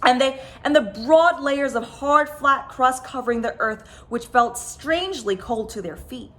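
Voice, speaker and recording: A woman reading a children's picture book aloud as a storyteller, with dramatic, expressive phrasing. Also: high voice, measured pace. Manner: dramatic, stressed sound words and phrases. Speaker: storyteller, host of a story time.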